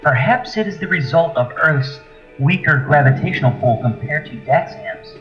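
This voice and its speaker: An actor playing a proud, analytical alien scientist with formal, precise diction in an audio drama. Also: male voice, a little high, animated, normal speed, close to an everyday voice. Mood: studious. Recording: dull echoey lo-fi audio, music.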